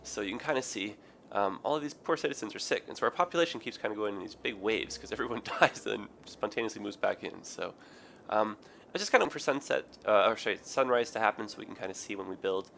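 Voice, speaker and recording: A man with a raspy, hoarse, tired voice, rambling through casual game commentary with many ums and self-corrections. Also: lowish voice, fast talker, fairly bright tone, slight background noise. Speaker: gaming video host. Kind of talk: casual let's play commentary.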